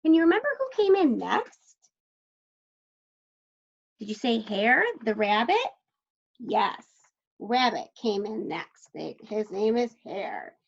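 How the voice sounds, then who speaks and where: Female primary teacher for a children's story lesson: unhurried, questioning, encouraging delivery with creaky edges, dull lo-fi sound. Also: mid-range voice, big pitch swings. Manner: instructional, questioning, pauses for answers, encouraging. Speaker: elementary school teacher. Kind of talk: read-aloud lesson talk to young children.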